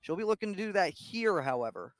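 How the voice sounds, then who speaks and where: High-pitched man calling softball play-by-play. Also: sing-song, brisk, dry recording. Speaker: play-by-play radio commentator. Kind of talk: live softball play-by-play commentary. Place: live at a softball game.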